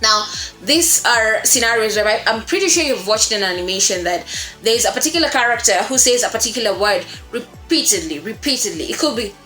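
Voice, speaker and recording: A woman instructor explaining a lesson in an expressive way, repeating words for emphasis. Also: on the high side, brisk. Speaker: voice acting instructor. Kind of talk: explanatory lesson on creating character voices. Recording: roomy echo, faint music behind.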